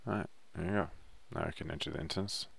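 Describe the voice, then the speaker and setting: A man's voice: very deep voice, fast talker, vocal fry, a bit bright, breathy edge. A man giving a laid-back, offhand, unscripted walkthrough commentary as he plays through a video game. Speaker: gameplay guide narrator.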